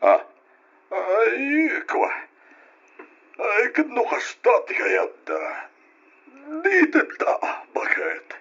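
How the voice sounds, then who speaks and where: An easygoing older father in an old radio play, hearty and practical. His voice is bright but husky, slow, and lively in pitch. The sound is dull and lo-fi, as from an old radio broadcast. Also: heavily acted. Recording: lo-fi old radio drama recording.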